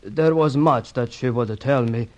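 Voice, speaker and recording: A man's voice: mid-range voice, unhurried, a little mellow, highs cut off, natural voice. Man acting a dying, remorseful villain. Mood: despairing confession. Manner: formal, solemn, resigned phrasing. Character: dying, lovestruck South American club owner confessing his sins. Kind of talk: old-time radio melodrama acting.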